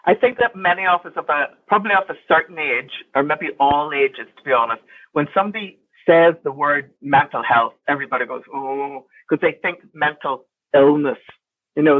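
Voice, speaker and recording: A woman's voice: low voice, moderate pace, dry recording. Northern Irish woman on a tinny line. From Northern Ireland. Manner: chatty and anecdotal, with frequent restarts. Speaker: guest. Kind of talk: storytelling in an interview. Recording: tinny phone-like line, dry.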